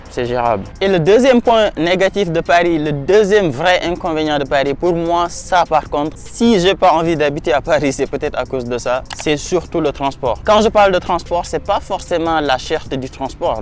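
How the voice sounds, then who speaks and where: Slangy young man vlogging outdoors. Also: a little high. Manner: slangy, rambling, talks to viewers as "les gars". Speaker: vlogger advising students. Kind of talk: casual vlog chat. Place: outdoors by a waterfront landmark at sunset.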